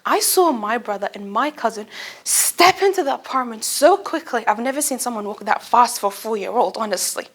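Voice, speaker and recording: A young woman with a fairly high voice gives a light, humorous speech about her own life. She is a native Arabic speaker. She tells it expressively, like a storyteller acting out small moments for her listeners. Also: brisk, husky. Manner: expressive, animated storytelling with acted-out asides. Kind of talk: humorous personal speech to an audience.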